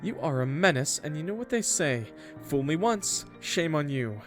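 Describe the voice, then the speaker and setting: A man's voice: on the high side, very animated, normal speed, soft background music, pitched above the performer's own voice. A man voicing a sour, teasing bar-goer in a visual novel, theatrical and mock-scolding.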